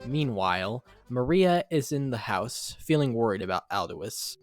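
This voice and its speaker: A man's voice: medium pitch, lively intonation, a little slow. A man narrating an amateur dramatic reading from a script, in the role of storyteller. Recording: music behind voice. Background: music.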